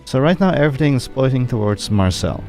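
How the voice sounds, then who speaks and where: A man giving casual, halting, wry commentary as he plays a video game. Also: medium pitch, big pitch swings. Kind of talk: casual game commentary, sometimes reading dialogue aloud. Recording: game music behind the voice. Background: game music.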